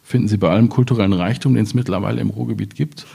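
A man's voice: on the low side, rapid-fire, creaky, warm tone, breathy edge. A man from the Ruhr area in Germany, a poet talking casually and explaining things at a reading.

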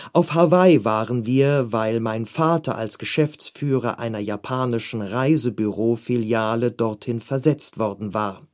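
A man reading a magazine article aloud in a calm, measured way. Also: medium pitch.

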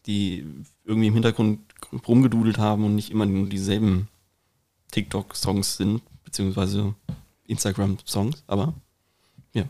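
Male co-host, lower-pitched, informal conversational delivery, reworks phrases mid-thought. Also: level tone, moderate pace, mellow. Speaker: podcast co-host. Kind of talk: casual podcast chat.

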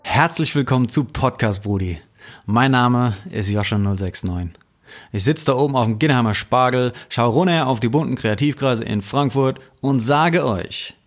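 A man hosting a podcast, reading a scripted intro and then asking his guest friendly, casual questions with plenty of fillers. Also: medium pitch. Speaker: podcast host. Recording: roomy echo.

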